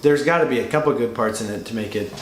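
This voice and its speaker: Male co-host, mid-pitched voice, halting casual chat, echoey room, slight background noise. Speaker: co-host reviewer. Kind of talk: casual conversational movie review. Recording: roomy echo with background noise.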